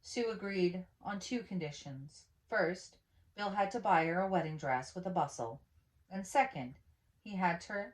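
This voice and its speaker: A woman reading a picture book aloud as a storyteller, with animated, dramatic emphasis on key words. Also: lowish voice, rough recording. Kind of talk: expressive children's story read-aloud.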